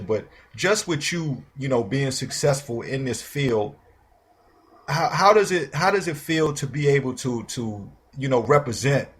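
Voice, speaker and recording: Informal male host with a slightly husky voice. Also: mid-range voice. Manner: informal, slangy, encouraging affirmations. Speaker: interview show host. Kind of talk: casual conversational interview.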